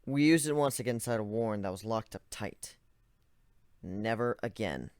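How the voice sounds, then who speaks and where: A man's voice: fairly low pitch, lively intonation, moderate pace, darkish tone, smooth, no room sound, studio quality. A man voicing an easygoing young male griffin who talks in a joking, offhand way. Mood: casual.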